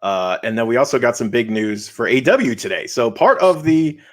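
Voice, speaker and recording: Enthusiastic man with medium-pitched voice. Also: lively intonation, moderate pace. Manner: enthusiastic, emphatic. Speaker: podcast co-host. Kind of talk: casual enthusiastic fan chat.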